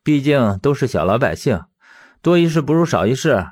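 A man's voice: medium pitch, expressive, moderate pace, darkish tone, close to an everyday voice. A man voicing a principled, put-upon schoolteacher in his twenties for an audiobook, resigned and placating in manner. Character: resigned, principled 27-year-old male schoolteacher, kind but put-upon.

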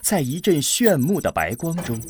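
A man's voice: fairly high pitch, very animated, normal speed, background noise. A man delivering dramatic scripted narration for a science-fiction radio drama. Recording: prominent music bed under voice. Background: music.

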